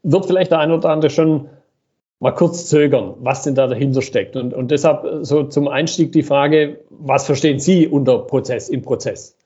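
A man hosting a business podcast, reading a scripted intro and then asking measured questions with some repetitions. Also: medium pitch, warm tone, breathy edge, highs cut off. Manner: measured, asks questions with many hesitations and repetitions. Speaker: podcast host.

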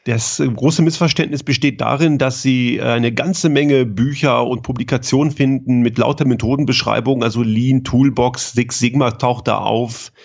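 A man's voice: medium pitch, fairly even intonation, normal speed, vocal fry, few pauses, highs cut off. A man who grew up in Germany, a consultant explaining his work thoughtfully in an interview, with frequent hesitations. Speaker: guest, lean consultant. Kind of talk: conversational interview answers.

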